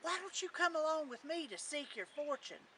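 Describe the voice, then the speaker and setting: Lady playing a friendly country lad in a folktale, bright and lively, encouraging, bit of background noise. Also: high voice, normal speed, recorded from a distance. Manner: folksy, rural dialect, encouraging.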